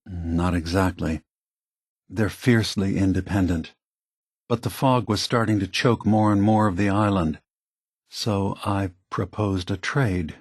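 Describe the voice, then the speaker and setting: A man voicing a calm, remorseful old synthetic android leader in a video game, speaking in a measured, grave, deliberate way. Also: deep voice, husky, no room sound, clean studio sound, exaggerated voice. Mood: measured, explanatory.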